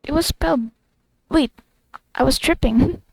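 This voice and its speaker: A woman's voice: sits high, a little slow, creaky, darkish tone, no room sound. A woman streamer giving casual gameplay commentary, thinking aloud and muttering reactions. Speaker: game streamer.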